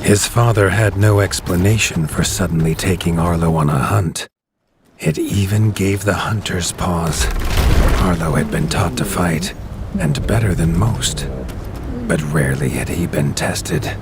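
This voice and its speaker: A man with a very low voice tells the tale like a storybook, grave and measured. Game ambience and noise run clearly behind him. Each line lands slowly and with weight. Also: expressive. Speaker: narrator.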